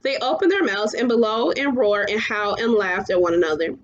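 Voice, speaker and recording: A woman reading children's fantasy fiction aloud, somewhat halting, often stumbling and going back over phrases. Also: mid-range voice, highs cut off. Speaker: storybook reader.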